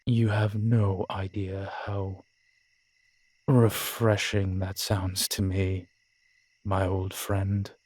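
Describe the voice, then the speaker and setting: A man voicing a brooding, guarded, centuries-old vampire in an audio roleplay, speaking gravely and low. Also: flat delivery, darkish tone, no room sound, full character voice. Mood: wistful. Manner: slow, grave, low-spoken.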